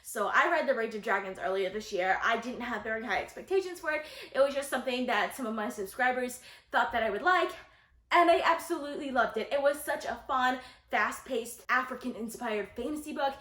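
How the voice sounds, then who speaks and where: A woman with a high-pitched voice talks casually to her viewers in a roomy, echoey space. She reviews books in a gushing, enthusiastic way and puts strong emphasis on her opinions. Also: expressive, brisk, fairly bright tone. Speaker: book reviewer, video host. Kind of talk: casual book-tag vlog talk to viewers. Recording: roomy echo.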